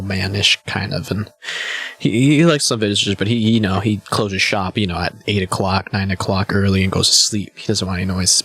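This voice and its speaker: A man's voice: lowish voice, a bit bright. A man in his twenties chatting casually to his live stream viewers, a bit hungover, rambling and laid-back with lots of "man" and "you know.". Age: 20s. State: a little hungover.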